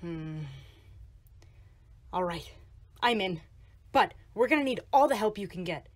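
A woman voicing a bold, brash and protective young female monster running a game booth in a cartoon, forceful and casual. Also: mid-range voice, expressive, a little slow. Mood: urgent. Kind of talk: animated web series voice acting.

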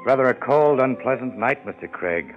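An actor playing a coolly menacing crime boss with formal, condescending politeness in a 1950s radio drama. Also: male voice, medium pitch, lively intonation, normal speed, muffled recording, music in the background, close to an everyday voice. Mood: suavely probing. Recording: thin, band-limited 1950s radio recording.

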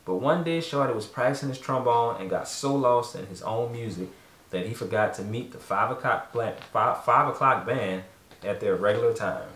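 A man's voice: medium pitch, moderate pace, a bit bright. Dad in his 30s reading to his kids, casual, roomy echo from a camera across the room. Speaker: father reading a storybook with his children. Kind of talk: casual read-aloud to children. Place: carpeted room in a home, indoors. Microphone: distant camera microphone.

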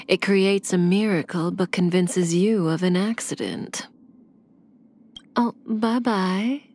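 An actress playing a calm, seductive female assassin in a video game, with a breathy, velvety voice and a languid, teasing delivery. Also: lowish voice, heavily acted. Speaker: game character voice actor. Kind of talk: scripted video game character voice lines. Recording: clean studio voice over game music.

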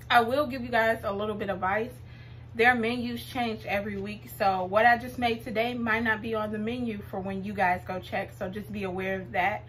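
A woman's voice: fairly high pitch, a bit bright, slight background noise. A young adult woman talking informally and chattily, as in a casual cooking vlog. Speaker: vlogger.

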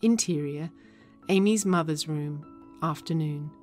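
Low-pitched, measured female narrator. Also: darkish tone, soft background music. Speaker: narrator reading stage directions. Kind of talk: scripted narration.

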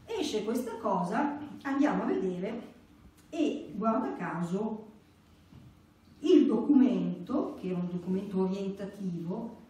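Echoey, mellow middle-aged woman lecturing. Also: mid-range voice, expressive, takes it slowly. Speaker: conference speaker. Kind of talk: explanatory religious lecture, partly read from notes. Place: indoor church meeting room. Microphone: stand microphone. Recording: very echoey room, distant, faint hiss.

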